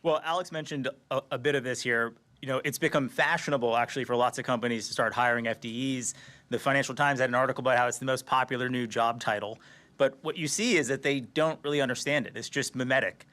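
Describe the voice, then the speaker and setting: Assertive male executive, mid-pitched. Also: a bit bright. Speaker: company executive. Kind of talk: unscripted earnings call answer.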